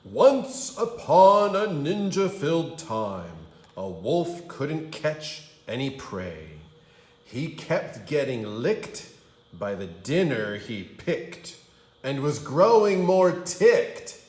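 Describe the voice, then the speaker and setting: Male kindergarten storyteller, expressive and very unhurried, roomy sound. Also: medium pitch. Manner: expressive, dramatic storytelling for young children. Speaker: kindergarten teacher reading aloud. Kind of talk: animated storybook read-aloud.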